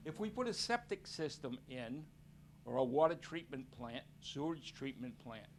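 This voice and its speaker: A man's voice: medium pitch, very animated, moderate pace, slight background noise. A man from town speaking during public comment at a meeting, blunt and plainspoken.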